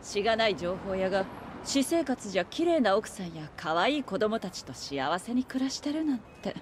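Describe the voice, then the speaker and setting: A woman's voice: mid-range voice, sing-song, normal speed, noisy background, close to an everyday voice. An actress playing a glamorous, self-assured witch in a video game, teasing and mocking in coy, feminine speech.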